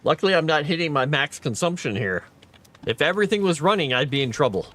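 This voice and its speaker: A man's voice: on the high side, animated, fast, fairly bright tone. Tired man rambling through a game stream. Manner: thinking aloud, rambling, chatty with viewers. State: tired, fading late at night. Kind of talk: casual gameplay live stream commentary.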